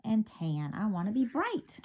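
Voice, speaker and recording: A woman voicing a small, fuzzy male caterpillar who wishes he were someone else, in a gentle storybook manner. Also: mid-range voice, sing-song, unhurried, a little hiss or hum. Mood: wistful.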